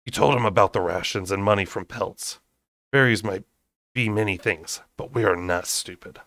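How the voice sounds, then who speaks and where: A man voicing a reserved male fae lord in a storybook, measured and gentle. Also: lowish voice, dry recording. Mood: assertive. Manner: measured, soft-spoken.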